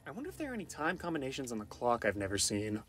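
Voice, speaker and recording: A man's voice: mid-range voice, huge pitch swings, racing, fairly bright tone, no room sound, voice pushed down. A man voicing a cocky, flirty, goofy wisecracking survivor for fan-made video game lines, in a playful, theatrical, quippy manner. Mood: musing, deadpan. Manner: playful, theatrical, quippy, often sing-song.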